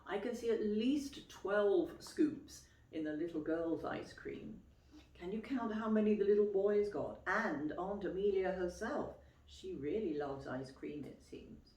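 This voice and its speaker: Echoey, slow-paced woman reading to children. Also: mid-range voice. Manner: expressive, clearly phrased, explaining and questioning as to young children. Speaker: teacher reading a picture book aloud to children. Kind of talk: children's storytime read-aloud with questions to listeners. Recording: roomy, echoey, distant microphone.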